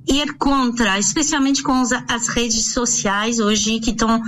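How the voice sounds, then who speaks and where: A middle-aged woman from France with a French accent, a native French speaker, explaining as an expert guest in an interview, halting and repeating words as she searches for them. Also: on the high side, fairly even intonation, fairly bright tone, a little hiss or hum.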